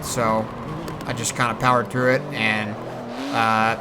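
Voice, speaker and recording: A man's voice: on the low side, flat delivery, measured pace. A man hosting a gaming video, giving casual, rambling, hesitant voice-over commentary. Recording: loud racing car engine noise behind. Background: racing game car sounds.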